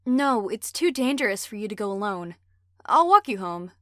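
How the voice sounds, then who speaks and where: Woman acting an animated, protective young heroine. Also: medium pitch, normal speed, no echo, studio quality, close to an everyday voice. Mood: protective, insistent. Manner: firm, earnest. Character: protective, suspicious young woman in werewolf pack. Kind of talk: dramatic roleplay voice acting.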